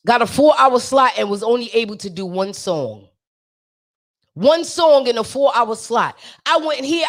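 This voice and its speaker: A woman with a naturally raspy, high-pitched voice talks to her livestream viewers in a fervent, sermon-like way. She speaks straight to them, driving each point home by repeating it. Her rough tone stays in every line. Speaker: singer sharing a testimony with viewers. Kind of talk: preachy motivational livestream talk.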